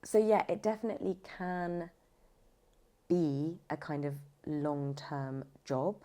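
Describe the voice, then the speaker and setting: A woman's voice: low-pitched, steady tone, slow pace, warm tone. A woman speaking casually as an interview guest, emphatic and often rephrasing as she goes. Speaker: voice actor, audiobook narrator, podcast guest.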